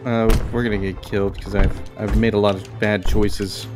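Guy doing a lets play, lowish voice, rambly thinking out loud, game music going behind him. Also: level tone, moderate pace. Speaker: gaming video host. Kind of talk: casual video game commentary.

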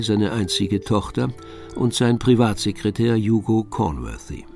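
A man's voice: fairly low pitch, gravelly, darkish tone. A man narrating a scripted mystery story in German as the narrator of an audio drama.

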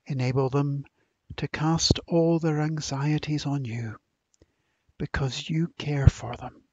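Slow, slightly husky man leading prayer. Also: fairly high pitch, fairly even intonation, a little mellow, dry recording, highs cut off. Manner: solemn, measured phrasing. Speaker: prayer leader. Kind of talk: scripted spoken prayer.

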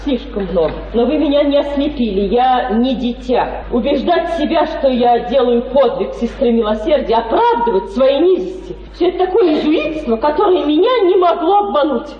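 An actress playing a proud, bitter young daughter in a radio play, declaiming with tense formality. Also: female voice, high-pitched, expressive, moderate pace, few pauses, warm tone, echoey room, lo-fi sound, slight background noise. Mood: bitter resolve. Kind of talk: radio drama acting.

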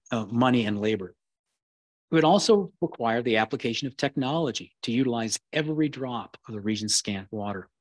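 Man giving a measured history lecture. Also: medium pitch, no echo. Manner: measured, with occasional restarts. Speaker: keynote speaker.

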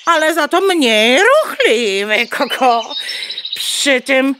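Casting: nagging mother hen in a children's audio play, high voice, slow haughty delivery, clucking, birdsong behind. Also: big pitch swings, heavily acted. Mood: indignant. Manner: clucking "koko" tics, haughty, lecturing. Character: bossy, indignant old mother hen, schoolmarmish and nagging. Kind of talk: children's radio play acting. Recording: bird chirps and noise behind. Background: birdsong, farmyard ambience.

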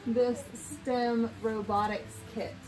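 A woman's voice: on the high side, little pitch movement, slow pace, rough recording. A woman in her thirties chatting informally and explaining things to camera as the host of a family homeschooling vlog, recorded indoors at home. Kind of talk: casual family vlog chat, showing items to camera. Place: home room with bookshelf, indoors. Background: music.

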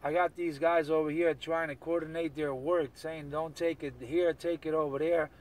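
A man's voice: fairly high pitch, fairly even intonation, normal speed. A man talking casually in CB radio slang, repeating catchphrases as he operates and records. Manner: repetitive, chant-like catchphrases.

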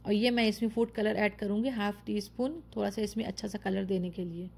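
Creaky, flat-voiced woman narrating a recipe. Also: medium pitch, fairly quick, slight background noise. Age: adult, mother of children. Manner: chatty, repeats warm praise of the dish; addresses viewers directly with step-by-step tips. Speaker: home cook and channel host. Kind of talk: cooking tutorial voice-over.

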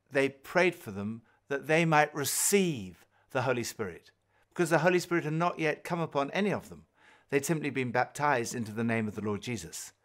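An older man tells stories in an earnest teaching talk, his pitch rising and falling with real liveliness. Music plays clearly beneath his voice. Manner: earnest storytelling, acts out quoted voices. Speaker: course presenter. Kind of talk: recorded Bible teaching talk. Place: home-like room indoors.

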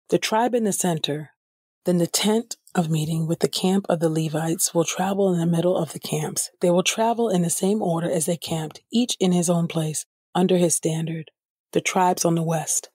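Female scripture reader, husky, measured and formal, dry recording. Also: lowish voice, fairly even intonation, a little mellow, dry recording. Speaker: podcast host and scripture reader. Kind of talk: scripted Bible reading.

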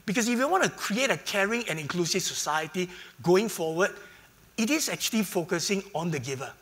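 A man with a high, bright voice speaks on a conference panel. He talks enthusiastically and fills his sentences with "you know" and "actually". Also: expressive, a little fast. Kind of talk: panel discussion.

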